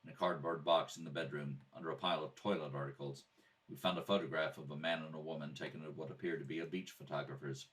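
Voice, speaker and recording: A man playing a calm, patient police detective in an old-style radio drama, matter-of-fact and deadpan. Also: extremely low pitch, fast talker, pitched below the performer's own voice. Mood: deadpan, factual. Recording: distant mic in a home kitchen.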